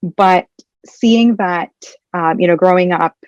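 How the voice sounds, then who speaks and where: Young woman, punjabi first language, measured and a bit hesitant, lowish voice, muffled dry audio. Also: darkish tone, no room sound. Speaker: guest, PhD candidate. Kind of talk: conference interview answers. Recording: dull, dry lo-fi sound.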